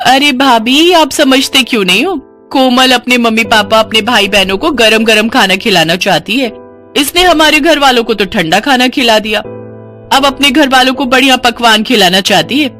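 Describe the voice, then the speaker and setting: A woman's voice: on the high side, normal speed, sharp tone, faint music behind. A woman voicing a spiteful, mocking elder sister-in-law in a moral-story cartoon, with a sneering, exaggerated delivery.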